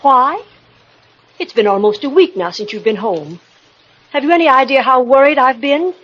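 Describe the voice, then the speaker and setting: An actress playing a worried, frightened wife in an old-time radio drama, hesitant, earnest and pleading. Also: female voice, high-pitched, lively intonation, normal speed. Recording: dull vintage radio recording.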